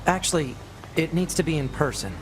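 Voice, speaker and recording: A woman's voice: low-pitched, fairly quick, background noise, close to an everyday voice. A woman voicing a curious young male android scout in a video game, casual, youthful and boyish. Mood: inquisitive. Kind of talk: English video game voice acting. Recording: game music playing behind.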